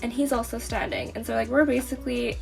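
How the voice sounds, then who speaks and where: A young woman vlogger chatting casually, a little nasal from a cold, telling stories in an animated way with lots of fillers. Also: a little high, fast talker, creaky, soft background music, poor audio. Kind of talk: casual vlog chat.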